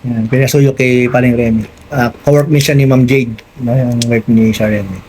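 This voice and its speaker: A man's voice: medium pitch, steady tone, moderate pace, darkish tone, rough recording. Chatty Filipino man vlogging over background noise. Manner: chatty, addresses viewers directly. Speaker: vlogger hosting an unboxing and installation. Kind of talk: casual hands-on tutorial chat.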